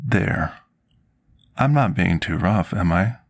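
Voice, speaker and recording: Gentle fantasy potion master, played by a male actor: deep, gravelly, soft-spoken ASMR, politely submissive servant. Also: expressive, takes it slowly, exaggerated voice. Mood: soothing, tender. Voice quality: husky, gravelly. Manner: formal, polite, submissive, archaic wording. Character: gentle, timid, deferential male potion master serving a dragon.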